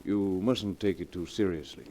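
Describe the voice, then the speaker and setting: Low mellow actor as a concerned friend, old radio. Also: male voice, moderate pace, close to an everyday voice. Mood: earnest, reassuring. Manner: earnest, persuasive. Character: concerned, sensible male best friend, urging and reassuring. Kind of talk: 1940s radio acting. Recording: noisy old 1940s radio recording.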